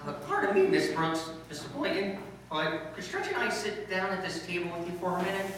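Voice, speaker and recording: A man's voice: high-pitched, fairly quick, a bit bright. A man playing a smug, scheming teenage schoolboy with grandiose wording, in old-time radio comedy style. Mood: eager, conspiratorial. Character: cheeky, scheming teenage schoolboy, theatrically wordy. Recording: echoey room, distant mic, faint noise.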